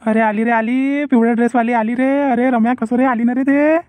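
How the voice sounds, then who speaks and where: A woman voicing an excitable village youth in a cartoon comedy, full of excuses and bluster, with a Varhadi Marathi accent. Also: on the high side, a little fast, soft background music, close to an everyday voice. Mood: excited. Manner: evasive, blustering.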